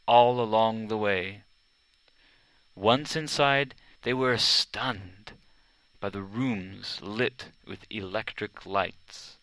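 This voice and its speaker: A man narrating a children's biography from a script, in measured, deliberate storytelling phrases. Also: fairly low pitch, a bit bright, smooth, dry recording, poor audio. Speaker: audiobook narrator.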